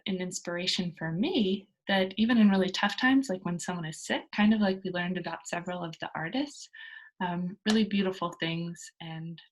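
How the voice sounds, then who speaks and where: A woman teacher reading a children's book aloud and explaining it to young pupils in an expressive storytelling manner. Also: lowish voice, low-quality recording.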